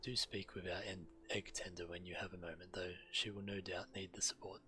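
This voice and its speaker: A man's voice: low-pitched, little pitch movement, fairly quick, bright, forceful tone, faint music behind. A male game streamer reading fantasy quest dialogue aloud and adding the odd comment.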